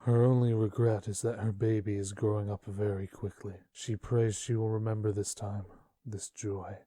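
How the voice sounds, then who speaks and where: A man narrating a dark comic dub as a sombre storyteller, in a subdued, measured manner. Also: lowish voice, little pitch movement, no echo, close to an everyday voice.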